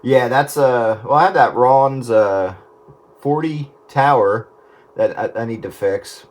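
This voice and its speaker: A man's voice: mid-range voice, takes it slowly. A man chatting casually over two-way radio as a hobbyist. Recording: two-way radio with faint noise.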